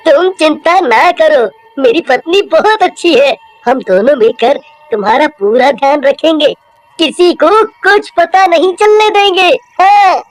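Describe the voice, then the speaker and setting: A woman voicing a kind-hearted village man with a gentle, earnest manner, in a cartoon story. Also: sits high, a little slow, no echo, soft background music, pitched above the performer's own voice, rough recording. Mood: reassuring. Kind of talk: Hindi horror cartoon voice acting.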